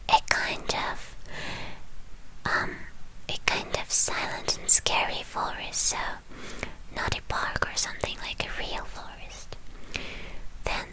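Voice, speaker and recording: A woman whispering in a breathy voice close to the microphone, telling a roleplay story for ASMR in a halting, playful way. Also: low-quality recording. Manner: slow, halting, playful delivery with soft pauses. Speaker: ASMR performer.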